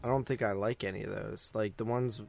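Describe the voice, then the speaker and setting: A man co-hosting a movie podcast, talking casually in a halting, noncommittal way. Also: lowish voice, steady tone, creaky, a little mellow, faint music behind.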